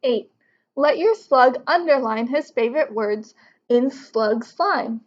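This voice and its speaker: A woman's voice: sits high, animated, takes it slowly, highs cut off. A woman in her thirties reading a picture book aloud and explaining it to children in an instructive way. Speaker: teacher reading to young pupils. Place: living room couch at home.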